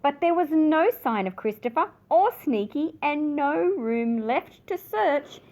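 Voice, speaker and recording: A woman reading a children's picture book aloud with expressive, dramatic emphasis. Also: sits high, slow. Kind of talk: scripted children's story narration.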